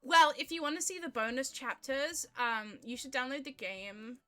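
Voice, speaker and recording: A woman's voice: high voice, normal speed, bright, forceful tone. A woman livestreamer chatting casually with viewers, in a chatty, gushing way. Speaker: streamer, indie vtuber.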